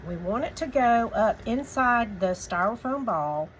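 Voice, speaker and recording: Slightly croaky woman teaching a craft. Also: on the high side, unhurried, a little hiss or hum, poor audio. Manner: relaxed, chatty instructions with fillers. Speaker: craft tutorial host. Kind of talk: step-by-step craft demonstration.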